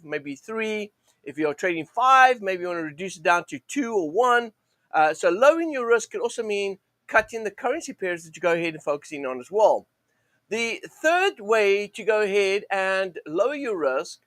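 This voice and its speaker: Croaky high-voiced man hosting a trading stream. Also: a bit bright, no echo. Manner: rambling, many fillers and restarts, "go ahead and". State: croaky, sore throat. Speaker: host of a live forex trading show. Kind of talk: live-stream teaching monologue.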